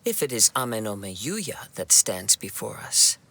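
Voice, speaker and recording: A man playing a calm, perceptive young wandering swordsman in a video game, speaking in a measured, formal and earnest way. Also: on the low side, breathy edge, exaggerated voice. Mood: earnest, explaining. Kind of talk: video game cutscene acting. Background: game music.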